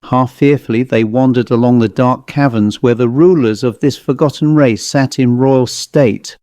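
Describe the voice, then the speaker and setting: A man narrating a scripted story as an audiobook reader, in a measured, deliberate manner. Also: medium pitch, dark tone, dry recording, clean studio sound.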